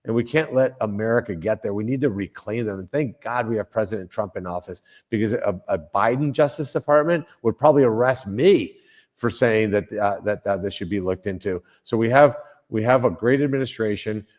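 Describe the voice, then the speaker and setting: A man's voice: on the low side, fairly quick, darkish tone. An older man from Colombia, a senator speaking as a guest in a political interview, emphatic, with frequent restarts and repeated phrases.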